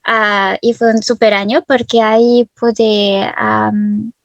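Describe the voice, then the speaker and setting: A woman in her twenties from France, telling her personal story as an interview guest, hesitant, with many fillers and soft laughs, over a video call. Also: fairly high pitch, little pitch movement, takes it slowly, gravelly, no room sound, highs cut off. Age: 20s. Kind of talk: personal testimony.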